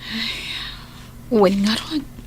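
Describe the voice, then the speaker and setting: High, slow weary self-sacrificing daughter. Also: female voice, a little hiss or hum. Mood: reluctant, subdued. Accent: Filipino, Ilocano. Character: Mini, self-sacrificing sickly eldest daughter working abroad, weary. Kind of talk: radio drama acting.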